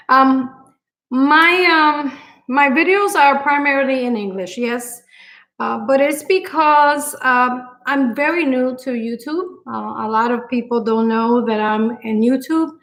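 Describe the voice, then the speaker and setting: Puerto Rican-accented woman hosting a cooking stream. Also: high voice. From Puerto Rico. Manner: warm-hearted, grateful, frequent fillers and pauses. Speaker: cooking channel host. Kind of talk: live stream chat with viewers.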